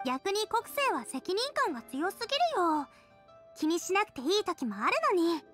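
A bubbly teenage cook in an anime game. The voice is very high and girlish, talking frankly and casually over faint music. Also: big pitch swings, moderate pace, fairly bright tone, heavily acted. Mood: reassuring, frank. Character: cheerful, sincere teenage girl cook. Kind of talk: Japanese anime game voice acting.

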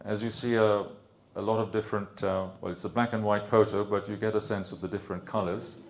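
A man in his fifties with a British accent, giving a conference lecture into a handheld mic, relaxed and hesitant with many ums and restarts. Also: lowish voice, brisk, slight background noise. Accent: British English. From Sri Lanka. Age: 50s. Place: conference room with projector screen. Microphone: handheld microphone.

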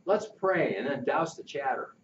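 Older man doing a level-headed camper kid, matter-of-fact, pitched up a little, echoey and dull sound. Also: expressive, normal speed, recorded from a distance, a little hiss or hum, natural voice. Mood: sensible.

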